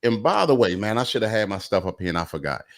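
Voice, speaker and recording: A man's voice: on the low side, sing-song, fast. A man in his forties hosting a casual talk show, laid-back and rambling with plenty of fillers. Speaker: host. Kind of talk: casual podcast chat. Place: Atlanta.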